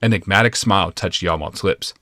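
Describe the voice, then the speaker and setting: A man narrating a fantasy audiobook from a script in a measured, deliberate and dramatic manner. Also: sits low, fairly bright tone, no echo, studio quality.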